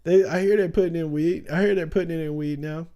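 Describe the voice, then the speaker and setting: A young man talks casually on a stream, loose and full of slang, laughing easily. His voice sits a little high but sounds warm and mellow, with a faint rasp. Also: fairly even intonation, fast talker. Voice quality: raspy at times. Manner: loose, slangy, laughs often, says "bro" a lot. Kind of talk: casual livestream commentary.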